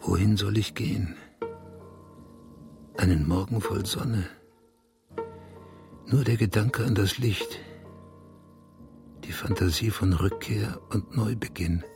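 A needy, embittered man of letters in a literary radio play. His voice sits fairly low and broods with a provocative intensity. There is faint music behind him. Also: slow, pauses often. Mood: dreamy, brooding. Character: obsessive, bitter, needy East German male writer. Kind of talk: radio drama acting and literary monologue.

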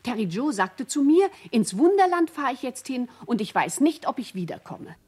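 A woman's voice: high-pitched, big pitch swings, normal speed, darkish tone, slightly rough, heavily acted. A woman playing a concerned schoolteacher who recalls her pupil in reflective testimony in a radio play. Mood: reflective, troubled.

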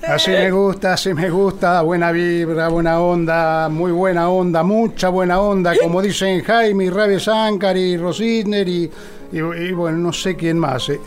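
A man playing a rambling, upbeat president in Argentine political satire, halting and repeating himself, in a radio drama. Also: high voice, steady tone, faint music behind. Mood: smug cheer. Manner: halting, repetitive, filler-laden speech.

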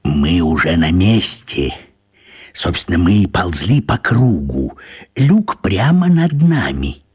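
A puny, shifty old wizard in a radio play. His husky voice leaps wildly up and down at an easy, slowish pace as he chatters with fussy, flowery politeness and evasive little giggles. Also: deep voice, big pitch swings, a little mellow, exaggerated voice. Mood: conspiratorial. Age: older.

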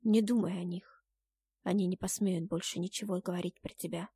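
A reassuring man comforts his sweetheart in a fantasy audiobook, as a woman narrator plays him. He speaks softly, in a hushed and soothing way. The recording is close and dry. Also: on the low side, fairly even intonation, fairly quick, breathy edge, no echo, voice pushed down. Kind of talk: dramatic fantasy audiobook acting.

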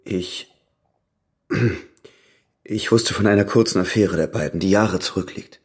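An actor playing a guarded, hesitant husband under suspicion in a radio crime drama. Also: male voice, lowish voice, takes it slowly, a little mellow, breathy edge. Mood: guarded, evasive.

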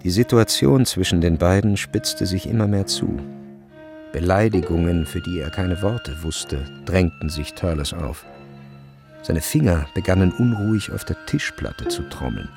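A man narrating a literary radio play from a script in a measured, deliberate storytelling manner. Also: deep voice, animated, creaky. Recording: studio radio play with music behind.

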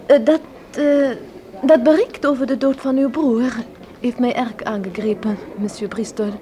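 A woman's voice: on the high side, fairly even intonation, normal speed, dark tone, slight background noise, natural voice. An actress playing a polite young Belgian woman with a French accent in a radio drama, speaking courteously and a little hesitantly. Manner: hesitant, courteous, peppers speech with French words. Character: polite young Belgian shop assistant turned stewardess.

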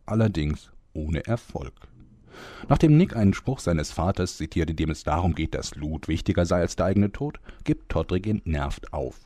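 A man narrating a scripted fantasy parody audio drama as a deadpan storyteller. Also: sits low, no echo, studio quality.

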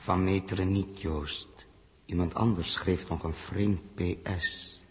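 A man's voice: low voice, steady tone, measured pace, slightly rough, full character voice. A man acting a blunt, principled spaceship commander in a 1960s Dutch science fiction radio drama. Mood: grave, calming. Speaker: spaceship captain. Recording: noisy old radio drama recording, clicks.

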